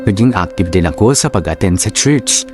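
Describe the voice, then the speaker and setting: A man's voice: low-pitched, expressive, moderate pace. A man with a Filipino accent playing a sorrowful narrator of a heartbreak letter in a radio drama. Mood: sorrowful, reflective. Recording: narration over clear background music.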